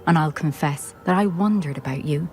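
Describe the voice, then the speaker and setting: A woman's voice: low voice, a little mellow, soft background music, close to an everyday voice. Formal, dignified former headmistress, acted by a woman. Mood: grave, measured. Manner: formal, measured. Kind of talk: video game cutscene acting.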